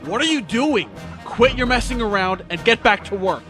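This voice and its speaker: A man playing a gruff, impatient farm overseer in an audio drama, curt and grumbling. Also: high voice, expressive, normal speed, a bit bright, soft background music. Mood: alarmed, angry. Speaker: voice actor.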